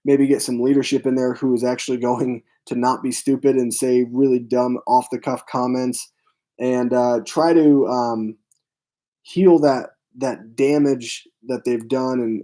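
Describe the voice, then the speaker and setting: A man with a hoarse, fading voice hosts a casual live stream from a room at home, rambling with lots of fillers as he answers his chat. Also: mid-range voice, warm tone. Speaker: film channel host.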